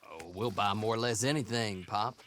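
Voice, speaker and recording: An actor playing a cocky, eccentric horse fence in a Western game, with a taunting drawl and a habit of repeating himself. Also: male voice, lowish voice, moderate pace, a bit bright, a little hiss or hum, close to an everyday voice. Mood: needling.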